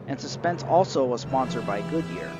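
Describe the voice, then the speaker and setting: A man's voice: medium pitch, lively intonation, moderate pace. A man narrating an informal review of a collection as its reviewer. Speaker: reviewer of an old radio show collection. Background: music.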